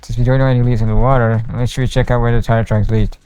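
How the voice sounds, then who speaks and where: A man's voice: medium pitch, little pitch movement, fast talker, mellow, clear, resonant tone, muffled recording. A man live-streaming a game, reading its dialogue aloud in an informal way. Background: game music, faint.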